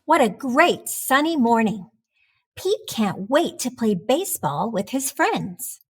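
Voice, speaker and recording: A woman reading a children's picture book aloud in an expressive, deliberate storytelling manner for young listeners. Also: a little high, husky, faint music behind.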